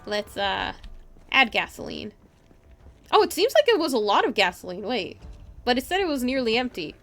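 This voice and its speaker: A woman's voice: on the high side, very animated, moderate pace, fairly bright tone, soft background music. A woman giving casual live gameplay commentary in a playful way, talking to herself and her chat. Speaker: game streamer, host.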